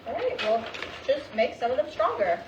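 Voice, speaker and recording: A woman's voice: fairly high pitch, very animated, normal speed, dull audio. A woman acting a stern, critical mother in a staged play reading, heard in a roomy theatre. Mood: firm, insistent. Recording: roomy theatre, distant mic, faint noise.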